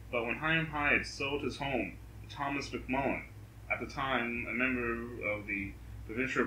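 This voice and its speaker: Very bright-voiced man reading aloud, echoey. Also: mid-range voice. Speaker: ghost story reader. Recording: echoey room with faint background noise.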